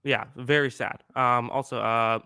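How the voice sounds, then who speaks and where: A man in his twenties hosting a podcast in casual chat, rambling with many fillers and restarts, with a higher-pitched voice. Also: fairly even intonation, measured pace, sharp tone, clear, resonant tone, no echo, clean studio sound. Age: 20s. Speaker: podcast host.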